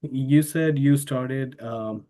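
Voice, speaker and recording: Halting male podcast host. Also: medium pitch, dark tone. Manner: halting, with many fillers and restarts. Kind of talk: interview questions.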